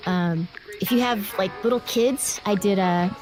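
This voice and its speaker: A woman with a mid-pitched voice chats casually as an interview guest. Her talk is animated, youthful and slangy, and music plays faintly behind her. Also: a little slow, low-quality recording. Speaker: guest voice actor.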